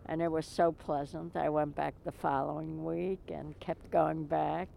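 Low gravelly New woman, calm. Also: unhurried, slight background noise. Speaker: birdwatcher interviewee. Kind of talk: interview answers.

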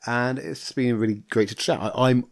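A man's voice: medium pitch, steady tone, a little fast, creaky, no echo, clean studio sound. A man hosting a podcast, chatting casually and rambling with frequent restarts. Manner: rambling, self-interrupting. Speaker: podcast host. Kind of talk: casual chat and interview.

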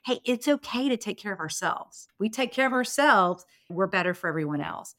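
A woman's voice: medium pitch, lively intonation, brisk, a bit bright, dry recording. Candid middle-aged woman, dry close recording. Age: 49, turning fifty next month. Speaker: podcast guest, business consultant and strategic planner. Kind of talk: conversational interview answers.

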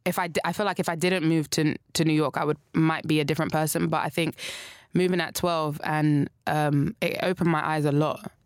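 A woman's voice: deep voice, fairly even intonation, brisk, few pauses, no room sound, studio quality. A young woman from South London with a London accent, chatting casually as a guest, hesitant and full of restarts. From South London, England. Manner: hesitant, many restarts and fillers, laughs easily. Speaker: guest singer. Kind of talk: casual interview chat.

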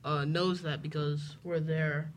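A twelve-year-old boy answering interview questions casually, haltingly and earnestly rephrasing as he goes. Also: fairly high pitch, little pitch movement. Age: twelve years old. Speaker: homeschooled student guest.

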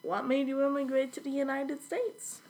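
A woman's voice: sits high, little pitch movement, normal speed, darkish tone. A teenage girl hosting a school project interview, reading her jokes and questions from a script in a chirpy way. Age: young teen. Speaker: student interviewer and show host.

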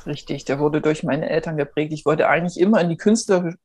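A woman's voice: sits low, fast talker, darkish tone, husky. A woman in her forties from Germany, a guest in an interview, telling her story in a rambling way with many fillers and restarts. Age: 40s. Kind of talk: casual interview talk.

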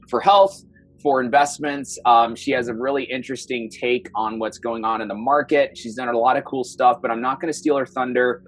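A man's voice: medium pitch, poor audio. A man hosting a health podcast, introducing his guest with enthusiasm and a few filler ums. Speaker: podcast host. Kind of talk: casual interview hosting.

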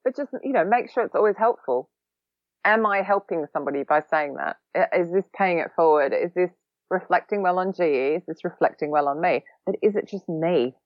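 A woman with an Australian accent, a podcast guest talking casually, quick-witted and a bit sarcastic. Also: lowish voice, lively intonation, no echo, lo-fi sound. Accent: Australian, long lived in London. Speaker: podcast guest, social recruiting trainer.

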